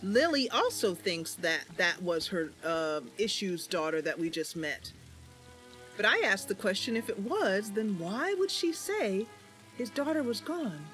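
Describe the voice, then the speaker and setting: A woman's voice: mid-range voice, very animated, measured pace, a bit bright, soft background music. A woman streamer narrating a roleplay story and casually commenting on the game she is playing.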